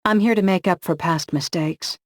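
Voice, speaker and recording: A woman's voice: on the low side, moderate pace, no echo, studio quality, pitched above the performer's own voice, natural voice. A man voicing a tough, sarcastic young woman warrior in an audiobook, wry and bold. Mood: mocking. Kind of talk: audiobook dialogue.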